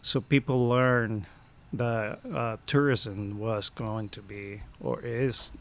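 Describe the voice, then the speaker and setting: A man in his fifties from Costa Rica with a Costa Rican Spanish accent, telling stories as a podcast guest and often pausing to search for words. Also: on the low side, steady tone, creaky, a little hiss or hum. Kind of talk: casual storytelling.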